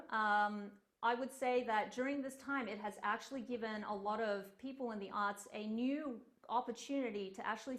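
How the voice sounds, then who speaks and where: Chatty woman lecturing over a video call. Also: on the high side. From Australia. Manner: chatty, earnest, frequent ums and uhs. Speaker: cellist giving an online lecture. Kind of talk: online lecture presentation. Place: home room on a video call.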